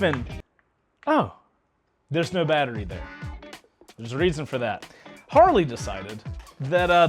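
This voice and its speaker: A man's voice: on the high side, a little mellow. A young man, hosting his motorcycle vlog in a casual, wry, complaining way. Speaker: motorcycle vlogger, show host. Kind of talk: casual chatty vlog narration. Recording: background music under the voice.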